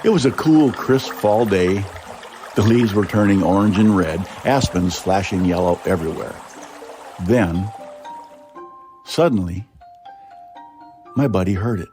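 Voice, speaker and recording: A man's voice: on the low side, unhurried, pauses often, over music. A man reading his own short story aloud in a dramatic, suspenseful first-person narration. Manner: expressive, suspenseful.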